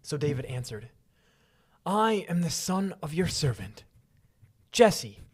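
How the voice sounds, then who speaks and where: A man co-hosting a podcast, speaking in an expressive, informal way with a theatrical streak. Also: a little high, takes it slowly, breathy edge. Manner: slangy, expressive, theatrical when reading. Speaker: podcast co-host. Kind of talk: casual podcast chat with animated Bible reading.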